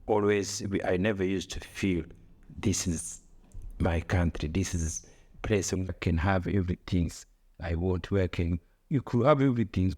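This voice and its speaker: A middle-aged East African man speaks in a deep voice with accented, second-language English. He talks reflectively, as on a podcast, often repeating a phrase before finishing the thought. He poses rhetorical questions as he reasons. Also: darkish tone. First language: not English. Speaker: podcast co-host. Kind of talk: reflective conversational podcast talk.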